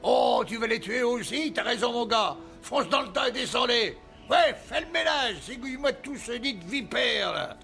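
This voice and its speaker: Brief: very high, gravelly, bright-edged film figure urging violence. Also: male voice, expressive, moderate pace, faint music behind, full character voice. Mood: goading. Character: man egging the hero on to kill bandits. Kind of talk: French film dub.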